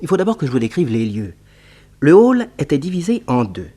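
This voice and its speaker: An actor playing the narrator of a French radio detective serial, telling it in a dramatic scripted style. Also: male voice, on the high side, sing-song, fairly quick, warm tone, breathy edge. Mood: explanatory. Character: vivid storytelling male narrator of a detective serial.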